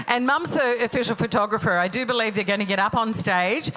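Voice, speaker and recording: A woman's voice: on the high side, normal speed, fairly bright tone, rough recording. A woman with an Australian accent, informally hosting a live awards night and chatting with guests over a microphone in a function room. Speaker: event host.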